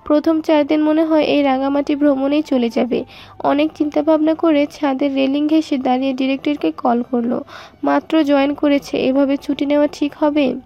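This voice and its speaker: A woman narrates an audio story. Her voice is very high and soft-edged, and the recording is a little dull. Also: steady tone, normal speed, gravelly.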